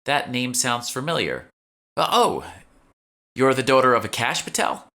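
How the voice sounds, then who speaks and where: Guy doing a chatty young shop girl for an audiobook, pitched up, super lively, eager and salesy. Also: unhurried, heavily acted. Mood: curious. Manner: polite, salesy, effusive. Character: chatty, eager young female magic shopkeeper. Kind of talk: audiobook character dialogue.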